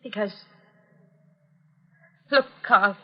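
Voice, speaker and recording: An actress playing a young, insane, murderous woman who is childlike, jealous and mercurial, in a 1940s radio thriller. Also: mid-range voice. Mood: calm menace. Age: young adult. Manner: childlike, deliberate, petulant. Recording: dull noisy 1940s radio recording.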